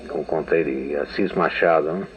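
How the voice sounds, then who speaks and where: A man's voice: extremely low pitch, moderate pace. An older man from Brazil with a Brazilian Portuguese accent, telling memories in a meandering radio interview and often restarting sentences. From São Paulo state, Brazil. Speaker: interviewed writer. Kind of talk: archival radio testimony. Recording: narrow, phone-like old radio archive.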